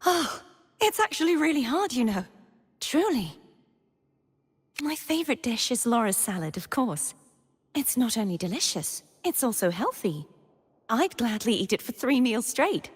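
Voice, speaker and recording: A gentle young maiden from a fantasy video game, speaks in a high, breathy voice. She is earnest and sweet, with measured, storybook phrasing. A long hall-like reverb trails her words. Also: big pitch swings. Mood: cheerful, sweet. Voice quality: soft, breathy. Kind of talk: scripted video game character voice lines.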